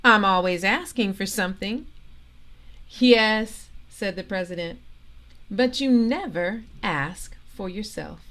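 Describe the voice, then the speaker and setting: A woman reading a children's biography aloud as a storytime host, in deliberate short phrases with expressive delivery. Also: mid-range voice. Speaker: storytime reader and host, organisation president.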